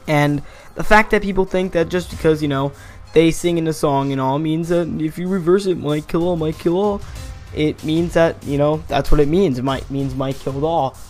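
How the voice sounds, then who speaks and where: A man's voice: fairly high pitch, moderate pace, a little mellow. A young man giving a casual, rambling voice-over commentary as a gaming theory host, often correcting himself and chuckling. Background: faint background music.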